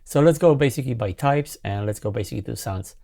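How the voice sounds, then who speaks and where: A man in his forties, a non-native English speaker, hosting a casual synthesizer tutorial in an enthusiastic, halting way. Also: medium pitch, vocal fry, heavy background noise. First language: not English. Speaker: host, sound designer demonstrating a synthesizer plugin. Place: small home music studio, indoors. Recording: synthesizer music behind the voice. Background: loud synthesizer sounds and music.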